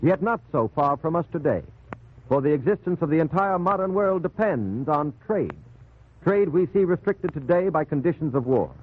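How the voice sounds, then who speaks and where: A man narrating a 1940s radio drama in a solemn, measured, dramatic way. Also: on the high side. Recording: tinny, band-limited 1940s radio recording.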